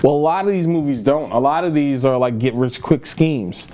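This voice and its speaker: A man in his thirties co-hosting a film review podcast, chatting casually and telling animated, joking stories. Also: mid-range voice, moderate pace, a little hiss or hum. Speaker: podcast co-host reviewing films. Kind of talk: casual chat.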